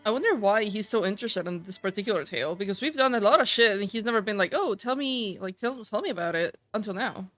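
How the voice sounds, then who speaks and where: A woman's voice: mid-range voice, lively intonation, fast talker, few pauses, a bit bright, faint music behind. A woman streaming a game, casually reading dialogue aloud and reacting in an irreverent, slangy, sweary way.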